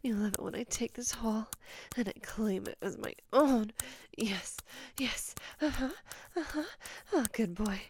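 A woman playing a doting, dominant lover in an intimate audio roleplay, with a breathy voice and a coaxing manner. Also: a little high, a little mellow, slight background noise, natural voice. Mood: eager, commanding. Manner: slow, coaxing, often whispered.

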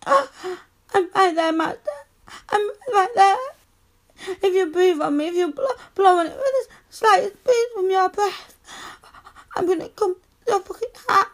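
Woman acting a very high-voiced submissive, pleading lover. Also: measured pace. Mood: ecstatic pleading. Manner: breathy moaning and begging. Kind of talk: erotic audio roleplay.

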